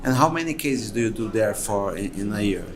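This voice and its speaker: A man's voice: lowish voice, animated, a little fast, a crowd in the background. A man with a Brazilian Portuguese accent interviewing a guest at a conference, speaking hesitantly and often repeating words.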